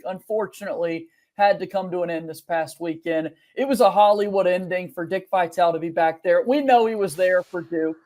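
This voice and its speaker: A man's voice: sits high, a little mellow. A man on a sports podcast, recapping a game in a casual way with frequent fillers. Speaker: podcast co-host.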